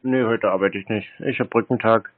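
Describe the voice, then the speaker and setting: A man's voice: on the low side, fairly even intonation, fairly quick. A laconic man taking a phone call, answering briefly and without committing himself. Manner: laconic, noncommittal, mostly short replies. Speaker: call recipient. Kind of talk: phone conversation. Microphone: telephone call.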